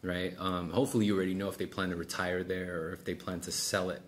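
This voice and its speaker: Low-voiced man coaching, casual. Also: little pitch movement, quick pace. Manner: casual, explanatory, checks with "right?". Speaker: sales coach. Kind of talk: one-on-one coaching with role-played sales dialogue. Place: small office meeting room, indoors. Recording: clearly noisy room sound.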